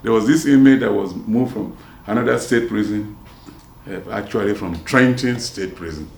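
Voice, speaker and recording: Gravelly older man, mixed Nigerian-American accent. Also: on the low side, normal speed, darkish tone, reverberant. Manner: rambling, with many restarts and trailing asides. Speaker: interviewee, former prison officer. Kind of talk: casual street interview.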